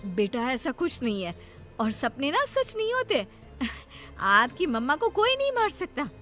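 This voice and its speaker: A woman's voice: sits high, huge pitch swings, a little slow, sharp tone, faint music behind, pitched above the performer's own voice, exaggerated voice. A woman voicing a caring, worried young mother in a cartoon story, emotional and concerned. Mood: reassuring. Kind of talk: Hindi cartoon horror story acting.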